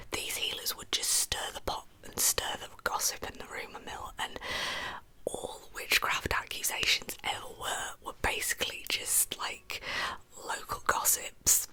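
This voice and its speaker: Whispering woman telling true crime. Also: poor audio. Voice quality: breathy, unvoiced whisper. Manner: soft-spoken, informal narration with chatty asides. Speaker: true crime ASMR host.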